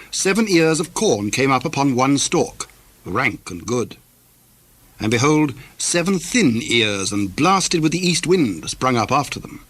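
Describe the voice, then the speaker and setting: A man narrating a dramatized scripture reading in a measured, solemn and formal storytelling style. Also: mid-range voice, a bit bright.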